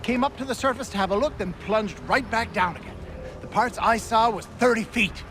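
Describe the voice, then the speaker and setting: An actor playing a rough, excitable colonial sailor in a video game, talking in a salty, emphatic way. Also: male voice, high-pitched, a little fast, a little hiss or hum. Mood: excited, horrified. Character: rough, excitable colonial sailor who saw a sea serpent.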